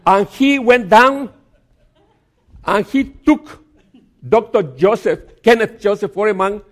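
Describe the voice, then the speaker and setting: Slow, halting male guest preacher. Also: high-pitched, lively intonation. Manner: halting, with restarts and gentle humor. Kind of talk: sermon with a personal story. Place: church.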